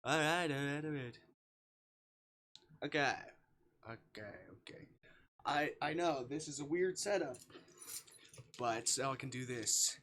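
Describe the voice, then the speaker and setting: Bright-voiced man streaming games, unhurried. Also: medium pitch. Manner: rambling, repeats words and phrases in singsong bursts. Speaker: livestream host. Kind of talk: casual gaming livestream chat.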